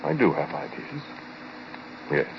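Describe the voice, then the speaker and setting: An actor playing a haunted, quietly obsessive inventor in a 1940s radio horror play, confiding haltingly and directly in the listener. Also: male voice, low-pitched, very animated, heavily acted. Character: haunted male inventor telling his uncanny story, quietly obsessive. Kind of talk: 1940s radio horror acting, first-person narration. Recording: noisy, muffled vintage radio recording.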